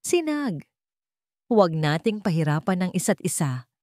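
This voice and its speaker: A woman with a Filipino accent voicing a menacing, controlling male villain in an audiobook, calm, cold and threatening. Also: fairly low pitch, normal speed, dry recording, clean studio sound. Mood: chillingly calm. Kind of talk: audiobook character acting.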